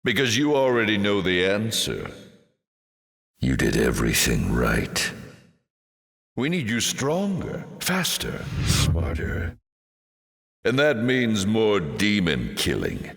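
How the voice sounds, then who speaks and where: A very low, swooping voice for a sardonic demon lord in a video game. He is urbane and theatrical, toying with the listener in mocking flourishes. The sound rings with long, cavernous reverb. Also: wildly swooping pitch, vocal fry, a bit bright, full character voice. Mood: coaxing, grandiose. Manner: urbane, mocking, drawn-out delivery with dramatic flourishes.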